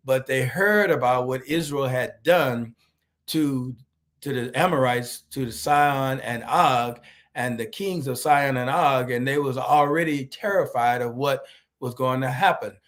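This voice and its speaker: Male pastor, hoarse and nasal from a cold, slow and filler-heavy, livestream sermon. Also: mid-range voice. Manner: greets viewers by name, many fillers, slow deliberate pace. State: hoarse, nasal from a sore throat. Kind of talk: online evening sermon.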